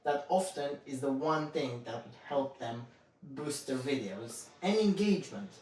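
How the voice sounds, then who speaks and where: A man hosting a conversational interview, deliberately rephrasing his points as he speaks. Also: mid-range voice, lively intonation, a little mellow. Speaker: podcast host, interviewer. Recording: strong room echo, distant microphone.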